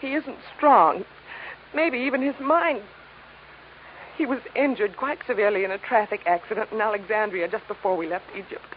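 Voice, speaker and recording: A woman's voice: medium pitch, moderate pace, natural voice. An actress playing a refined, anxious young woman, polite and guarded, in a 1950s radio drama. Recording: tinny, noisy old radio recording.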